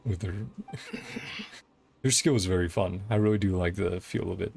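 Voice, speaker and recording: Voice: sits low, moderate pace, creaky, darkish tone. A game streamer chatting casually over gameplay in an easygoing, encouraging way, often laughing while talking. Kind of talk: casual livestream gameplay commentary and chat.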